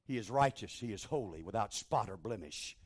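A man preaching a fervent sermon in a small church, repeating phrases and building to shouted exhortation over a handheld microphone. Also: fairly low pitch, animated, a little fast, slightly rough. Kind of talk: Pentecostal-style sermon.

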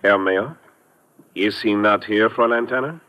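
An actor playing an earnest German police official in an old-time radio drama, speaking formally and precisely with a German accent. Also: male voice, lowish voice, moderate pace, pushed and bright. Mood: formal, puzzled. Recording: muffled, noisy vintage radio recording.

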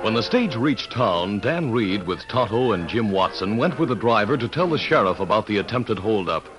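Low-voiced, animated vintage radio narrator, male. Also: over music. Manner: dramatic, measured announcer delivery. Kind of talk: old-time radio scripted narration.

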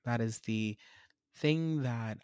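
A man's voice: medium pitch, slow pace, darkish tone, clear, resonant tone, no room sound, studio quality. A man, hosting a solo podcast and reflecting in a candid, rambling, self-searching way. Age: 20s. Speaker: podcast host.

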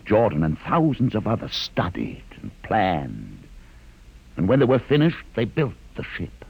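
An actor playing a calm, condescending older man who holds a prisoner aboard a starship, speaking in a measured, commanding way in an old radio drama. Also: medium pitch, slightly rough, highs cut off, exaggerated voice. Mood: explaining. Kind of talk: 1950s radio drama acting. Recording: vintage radio broadcast with hiss.

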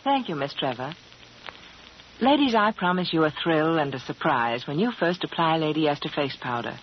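A woman's voice: low voice, animated, moderate pace. A woman delivering a scripted 1940s radio advertisement in a polished, persuasive, emphatic manner. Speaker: beauty advertiser. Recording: muffled, hissy 1940s radio broadcast.

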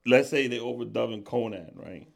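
A man's voice: medium pitch, moderate pace, vocal fry. A man hosting a podcast in casual, joking chat, often slipping into voices. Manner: playful, joking, breaks into impressions. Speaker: podcast host.

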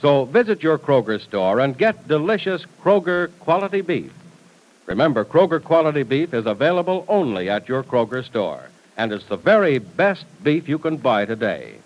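Animated vintage radio announcer, male. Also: on the high side, normal speed, rough recording. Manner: folksy, emphatic, theatrical salesmanship. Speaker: announcer and narrator.

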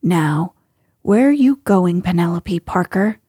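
A weary older housekeeper fusses and scolds with exasperation, read by a woman. She speaks slowly in a soft, mellow voice. Faint music plays under the close, dry sound. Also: lowish voice, animated, breathy edge, no echo, heavily acted. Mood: exasperated. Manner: exasperated, fussing.

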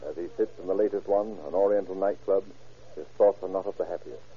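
Low, tinny vintage radio crime narrator, male. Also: fairly even intonation, quick pace, breathy edge. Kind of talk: dramatic 1950s-style radio crime narration.

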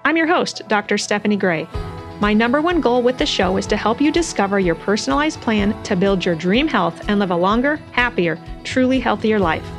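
A woman's voice: mid-range voice, lively intonation, brisk, music in the background. A woman hosting a podcast, sounding a bit congested from a cold, reading an upbeat scripted intro before chatting with her guest. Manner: upbeat, promotional. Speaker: podcast host. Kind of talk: scripted intro, then casual interview.

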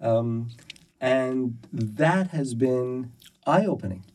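A man's voice: medium pitch, animated, very slow, a little mellow, far from the mic. A man being interviewed about his poetry and translation work. He talks thoughtfully and with some hesitation, using many fillers and restarts. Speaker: poet and translator, interview guest. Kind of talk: relaxed conversational interview.

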